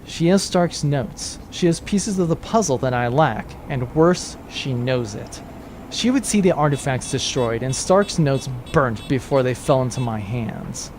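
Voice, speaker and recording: A man playing a cool, calculating schemer in a space opera audio drama, speaking in a measured, grave and controlled way. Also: medium pitch, animated, darkish tone, a little hiss or hum, close to an everyday voice. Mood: grave, plotting. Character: cool, calculating male schemer hunting a treasure vault.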